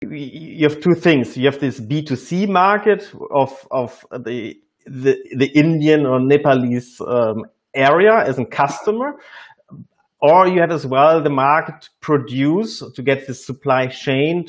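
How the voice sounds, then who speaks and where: A man hosting an informal business discussion, speaking haltingly and often repeating words mid-sentence. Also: a little high, lively intonation.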